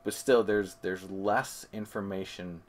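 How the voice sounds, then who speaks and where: A man's voice: sits low, fairly even intonation, a little slow. A man co-hosting a board game review, explaining things methodically in casual conversation and correcting himself as he goes. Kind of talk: casual comparison chat.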